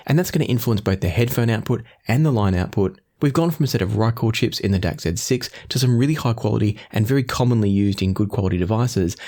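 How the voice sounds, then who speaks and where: A man presents a product review in a slightly low voice. He moves along at a quick clip, laying out details in an orderly, explanatory way. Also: darkish tone. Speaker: audio gear reviewer, host.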